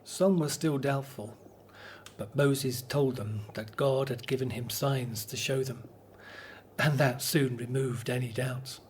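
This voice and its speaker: A man's voice: medium pitch, takes it slowly, a little hiss or hum, lightly acted. An actor playing an earnest, devout Hebrew spokesman in a radio drama. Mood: earnest recounting.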